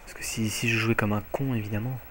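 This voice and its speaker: A young man with a French accent giving live commentary on his own online match from home, excitable and jokey, swearing often. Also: fairly low pitch, fast, a little hiss or hum. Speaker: gaming commentator. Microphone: headset mic.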